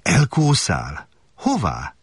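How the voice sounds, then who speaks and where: Guy doing a little boy prince, childlike and wondering, lively lilt, husky, soft music behind. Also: on the low side, no room sound, heavily acted. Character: small gentle boy prince from a tiny planet.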